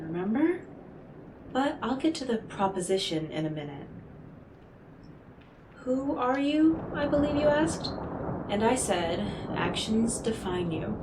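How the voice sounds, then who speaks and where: Woman acting as a slow-talking, enigmatic devilish stranger. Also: fairly high pitch, echoey room, background noise. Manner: coy, deliberate, theatrical, talking directly to the listener. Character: enigmatic devilish female stranger offering deals, smooth, teasing, condescending. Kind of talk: scripted roleplay audio drama monologue.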